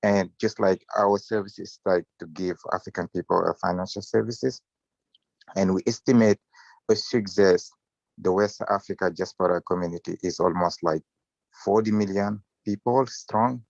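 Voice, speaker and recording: A man from Senegal talks haltingly, full of "like" and fillers. His voice is very low and gravelly. The sound is dry and muffled, like a video call. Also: steady tone, a little mellow, no echo. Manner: halting, filler-heavy non-native English. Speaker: entrepreneur.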